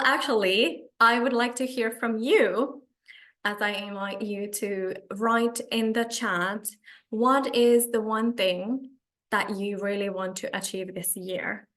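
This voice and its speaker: A woman from Finland with a Finnish accent, coaching a live online meetup and addressing participants directly in halting phrases. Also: mid-range voice. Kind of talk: live webinar presentation to participants.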